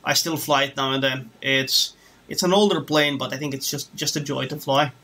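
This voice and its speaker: A man's voice: mid-range voice, steady tone, normal speed, sharp tone, a little hiss or hum. A man from Romania chatting casually on a live stream while flying a simulator, rambling and restarting his sentences. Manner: rambling, hesitant, many restarts. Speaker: flight sim streamer.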